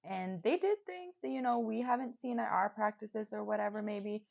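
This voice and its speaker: A woman's voice: medium pitch, normal speed, gravelly, darkish tone, lo-fi sound. A woman in her twenties from Sweden, co-hosting a podcast in an easygoing, agreeable way. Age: 20s. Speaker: podcast co-host and football player. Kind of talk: casual podcast chat.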